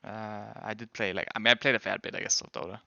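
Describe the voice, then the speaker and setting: Young danish guy on stream, kinda low voice, rambles and hedges a lot, dry muffled mic. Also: fairly even intonation, quick pace, vocal fry, sharp tone, no echo. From Denmark. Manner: rambling, hedging, self-correcting. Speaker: game streamer and pro player. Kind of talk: casual chat answering viewers.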